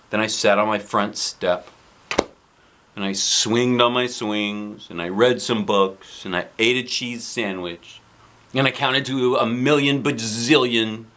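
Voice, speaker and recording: A man reading a children's book aloud as an expressive dad, with dramatic emphasis. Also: fairly low pitch. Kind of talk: animated storybook narration.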